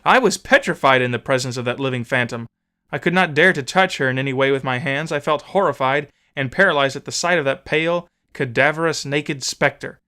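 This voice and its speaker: A man narrating an old memoir as a dramatic audiobook, solemn, measured and theatrical. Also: mid-range voice, pushed and bright.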